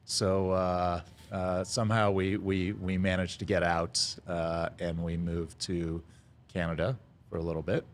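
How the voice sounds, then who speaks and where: A middle-aged man with a very low voice tells his story in a relaxed interview. He talks haltingly, filling gaps with "uh" and "um." He lets out an easy chuckle here and there. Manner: halting, lots of "uh" fillers, chuckles now and then. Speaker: restaurant founder, podcast guest.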